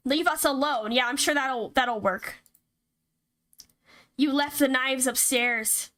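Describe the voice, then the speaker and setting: High-pitched woman streaming a game. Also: slow, pushed and bright. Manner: reacts aloud, swears casually. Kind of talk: reading visual novel text aloud with casual live commentary. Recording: music behind the voice. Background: game music.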